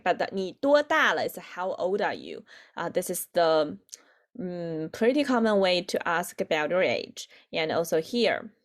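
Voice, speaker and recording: A woman in her twenties with a Chinese accent and native Chinese, teaching an online language lesson haltingly, repeating phrases for learners. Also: mid-range voice. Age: 20s. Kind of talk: online lesson, explaining with examples.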